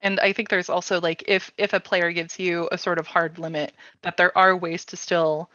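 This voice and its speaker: A woman hosting a casual panel discussion, thinking aloud informally in halting phrases. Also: lowish voice, flat delivery, sharp tone. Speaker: workshop host and panelist. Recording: roomy, slightly dull sound.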